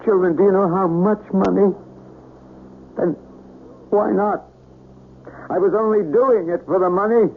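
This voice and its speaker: An actor playing a guilt-ridden scientist in a radio mystery drama, fervent and halting, repeating himself as he pleads. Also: high-pitched, steady tone, vocal fry, phone-quality sound. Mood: anguished confession. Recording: thin, faintly hissy old radio broadcast.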